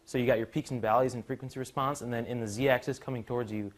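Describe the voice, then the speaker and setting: Young man, medium pitch, explanatory. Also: steady tone, fast talker. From Chicago suburbs, USA. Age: young adult. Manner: explanatory, conversational. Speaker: university student presenter, musician and drummer. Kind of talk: informal technical presentation.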